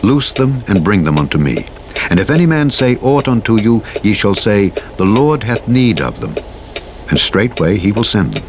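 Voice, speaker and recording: Deep-voiced man solemnly reading scripture over engine noise. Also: very animated, moderate pace, lo-fi sound. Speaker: Bible narrator. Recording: clear engine and vehicle noise behind. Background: truck engine noise from a driving game.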